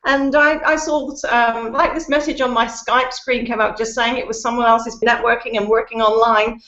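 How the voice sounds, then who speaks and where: A woman's voice: a little high, little pitch movement, moderate pace, a bit bright, roomy sound, muffled recording. A middle-aged woman from the UK, giving a chatty, upbeat testimonial over a video call from her living room. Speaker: guest. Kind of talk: casual testimonial.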